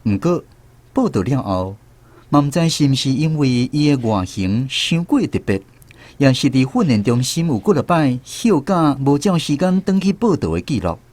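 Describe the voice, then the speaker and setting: A man's voice: medium pitch, big pitch swings, moderate pace, darkish tone. A man with a Taiwanese accent narrating a scripted first-person story for a radio drama. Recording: music behind the voice. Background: music.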